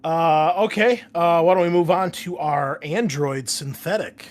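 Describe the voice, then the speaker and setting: A man hosting a tabletop game podcast as game master, upbeat and jumping louder on key words. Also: on the high side, a little slow.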